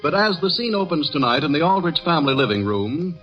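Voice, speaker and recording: Animated male vintage radio announcer over music. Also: on the high side, a little fast. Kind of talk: 1940s radio announcing, scripted introduction. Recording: dull old broadcast, music behind. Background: musical instrument playing.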